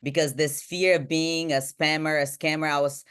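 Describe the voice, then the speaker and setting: A woman from Bulgaria, giving an energetic motivational talk to a group on a video call. She ends nearly every point with "right?" and her voice swings between high and low. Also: normal speed, clean tone. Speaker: motivational speaker and team trainer.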